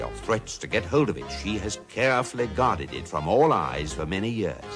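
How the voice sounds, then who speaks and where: A man narrating a comic science-fiction radio guide in a dry, urbane, precise deadpan. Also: deep voice. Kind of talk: scripted narration in a radio comedy. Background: music.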